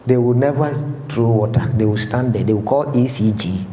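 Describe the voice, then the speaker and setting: A man teaches fire safety in a large hall, often checking whether his listeners are following. His voice is dark and mellow. The room gives it a long, echoing tail over a faint background hum. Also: mid-range voice, normal speed. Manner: explanatory, checks understanding with the audience. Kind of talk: seminar lecture.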